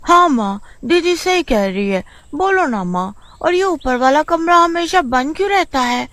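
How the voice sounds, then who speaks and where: Woman as a timid, high-voiced little sister. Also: lively intonation, a little slow, fairly bright tone, no room sound. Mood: anxious, questioning. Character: timid, questioning younger twin village girl. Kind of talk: storybook character voice.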